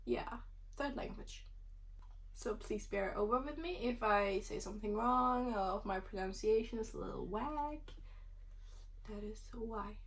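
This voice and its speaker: A young woman in her twenties talks casually to the camera from her bedroom, in English that is her third language. She rambles through her answers, leaning on "like" as a filler. Her voice sits at a middle pitch, and her phrases often fade into a breathy whisper at the end. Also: unhurried. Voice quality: speaks English as a third language, a non-native speaker. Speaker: student vlogger.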